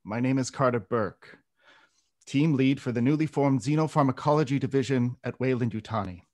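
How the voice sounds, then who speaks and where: Man acting a smooth mid-pitched company executive. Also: darkish tone, lightly acted. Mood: official, businesslike. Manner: formal, measured, official. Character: smooth corporate team lead in his thirties, businesslike. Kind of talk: screenplay table read.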